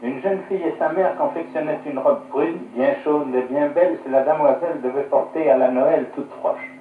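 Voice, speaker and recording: A man narrating a scripted folk tale in 1950s radio style, fluent, expressive and literary, on an archive recording. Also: on the high side, normal speed, distant mic, narrow, tinny audio, a little hiss or hum. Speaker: storyteller. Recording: echoey, band-limited 1950s radio archive.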